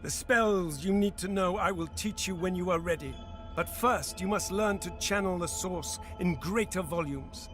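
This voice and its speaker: An actor playing a solemn, lofty god who guides like a mentor in a fantasy video game, speaking gravely and grandly. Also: male voice, sits high, normal speed, a bit bright. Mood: solemn, exhorting. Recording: orchestral music behind the voice. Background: music.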